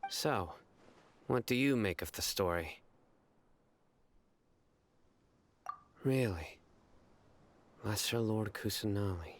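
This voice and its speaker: A man playing a stern, composed young investigator in a video game, speaking in a solemn, deliberate way. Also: on the low side, expressive, a little hiss or hum. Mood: reserved, probing. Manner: solemn, measured, deliberate storytelling. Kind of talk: video game character acting.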